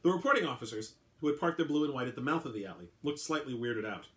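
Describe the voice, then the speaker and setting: A man's voice: medium pitch, expressive, fast talker. A man, an author, reading aloud as a dramatic storyteller.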